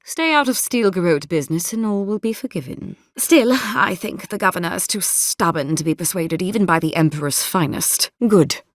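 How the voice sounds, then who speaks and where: A woman acts out a haughty, zealous woman captain of a military order for a video game. Her voice sits a little low for a woman and moves widely in pitch. She speaks formally and imperiously, with clipped authority, in a dry, close recording. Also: lively intonation, normal speed, few pauses, dry recording, lightly acted. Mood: haughty, threatening. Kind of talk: video game character dialogue.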